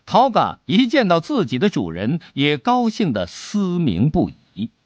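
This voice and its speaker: Slow, highly animated male audiobook narrator. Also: dry recording, dull audio. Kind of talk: dramatic scripted storytelling.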